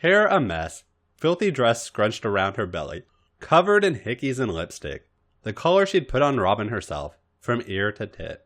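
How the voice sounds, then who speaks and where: A man reading fan fiction aloud as an expressive podcast narrator. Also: medium pitch, moderate pace. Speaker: podcast host reading a story.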